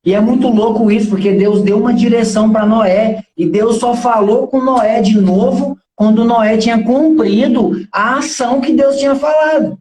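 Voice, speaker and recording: A man's voice: extremely high pitch, moderate pace, few pauses, darkish tone, roomy sound, low-quality recording. A man in his thirties with a Brazilian accent, giving an informal motivational talk in an earnest, preachy and colloquial way, often posing rhetorical questions.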